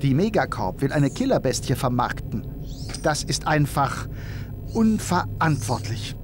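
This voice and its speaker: A man's voice: medium pitch, very animated, unhurried, noisy background. A man playing a small, earnest, polite robot sidekick in a video game. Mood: indignant. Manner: polite, precise. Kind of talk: video game cutscene acting.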